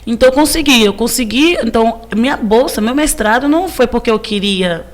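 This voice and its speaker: A woman's voice: on the high side, animated, a little fast. A woman in her thirties from Cape Verde, chatting casually as a podcast guest and repeating phrases for emphasis. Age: 30s. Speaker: podcast guest, civil engineering teacher. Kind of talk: casual interview chat.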